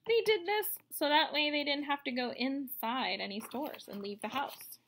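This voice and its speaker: A woman in her thirties reading a picture book aloud to children, explaining as she goes in an expressive way. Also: high-pitched, moderate pace, a little hiss or hum. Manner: expressive, explanatory, addressing young listeners. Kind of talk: read-aloud storytelling for children with asides. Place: room at home, indoors.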